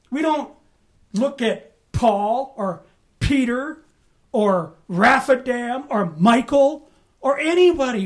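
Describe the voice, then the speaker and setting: Unhurried preacher, chatty Bible-study delivery, checks in with "right?". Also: high-pitched. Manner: conversational, asks the room "right?" and "amen?". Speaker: pastor. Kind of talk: sermon, Bible study teaching.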